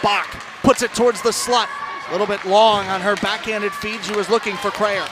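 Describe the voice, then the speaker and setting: A high-pitched male play-by-play commentator calls live ice hockey in an animated, projected broadcast voice. Crowd sound and arena noise are clearly heard behind him. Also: moderate pace. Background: ice rink crowd.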